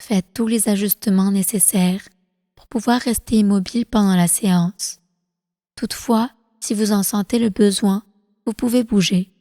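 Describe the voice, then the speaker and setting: Mellow-voiced woman guiding meditation, long reverb. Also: medium pitch, studio quality. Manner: calm, soothing, slow deliberate phrasing. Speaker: guided meditation instructor. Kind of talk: guided prenatal meditation, yoga nidra.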